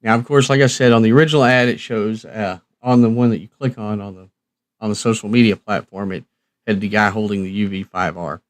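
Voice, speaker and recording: A man's voice: lowish voice, fairly even intonation, fairly quick, dry recording. A man hosting a casual live stream, talking in a folksy, rambling and hesitant way with trailing phrases. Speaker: live stream host, radio reviewer.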